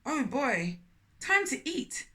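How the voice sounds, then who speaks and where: A woman voicing a greedy, eager male spider from a children's storybook, in an expressive, self-satisfied way. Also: sits high, takes it slowly, full character voice. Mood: excited.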